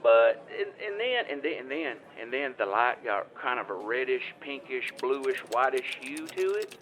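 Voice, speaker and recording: A man's voice: mid-range voice, expressive, fairly bright tone. A man playing a devout, flustered farmer with a Southern US accent, stammering and rambling through a phone call in an improvised comedic audio drama. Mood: awed, emotional. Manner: rambling, stammering, halting, folksy. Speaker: caller.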